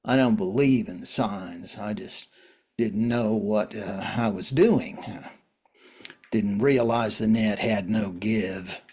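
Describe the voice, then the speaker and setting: A man playing a world-weary, wry survivor in a drama reading, talking in a halting, conversational way. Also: fairly low pitch, darkish tone, husky. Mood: dry, matter-of-fact.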